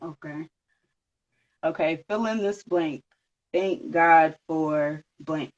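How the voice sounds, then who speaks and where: Podcast host, easygoing and agreeable; mid-pitched voice, slightly dull recording. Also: slow. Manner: easygoing, agreeable, laughs often. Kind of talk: casual interview questions.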